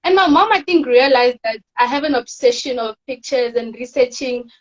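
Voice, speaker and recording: High-voiced teen girl, muffled recording. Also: normal speed. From South Africa. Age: teens. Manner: soft-spoken, rambling storytelling with fillers. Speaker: guest, teen pageant winner. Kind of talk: casual interview chat.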